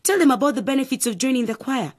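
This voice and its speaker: A woman's voice: high voice, racing. A young woman playing a frank, encouraging big sister in a radio drama.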